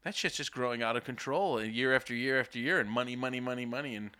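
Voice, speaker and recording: A young man co-hosting a podcast, talking in a rambling, halting way with lots of swearing. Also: medium pitch, sharp tone, smooth. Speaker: podcast co-host. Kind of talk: casual chat.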